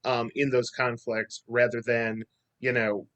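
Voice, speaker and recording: A man with a medium-pitched voice speaks as an actor on a panel. His delivery is conversational and thoughtful, building his point in long phrases. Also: little pitch movement, a little slow, fairly bright tone.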